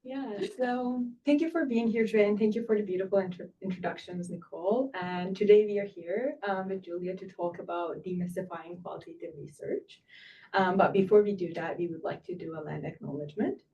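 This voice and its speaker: A woman's voice: medium pitch, fairly quick, dark tone, muffled recording, slight background noise. Woman from Turkey on a distant mic. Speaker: clinical research coordinator. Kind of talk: webinar presentation.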